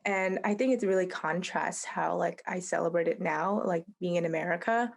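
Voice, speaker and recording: A woman's voice: lowish voice, normal speed, gravelly. A young woman from Vietnam, a podcast guest, chatting casually and searching for words with many fillers.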